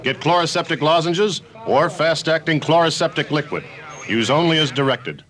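A man's voice: a little high, moderate pace, fairly bright tone. A man announcing a scripted radio commercial. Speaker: advertising announcer.

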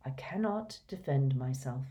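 A woman voicing a gentle, calm old male wizard headmaster who speaks softly and mildly. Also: extremely low pitch, voice pushed down. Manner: soft, mild, unhurried.

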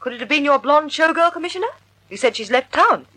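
Casting: anxious, witty radio sidekick, high voice, brisk delivery, vintage recording. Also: female voice, lively intonation, pushed and bright, dry recording. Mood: inquiring. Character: anxious, quick-witted female sidekick of a sleuth. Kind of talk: 1940s radio drama acting. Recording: old radio drama recording.

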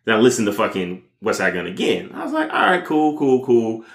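A man's voice: on the low side, a little fast. An American man casually talking music as a podcast host, telling it in slangy, profane language. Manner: slangy, profane, animated storytelling. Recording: roomy, echoey room.

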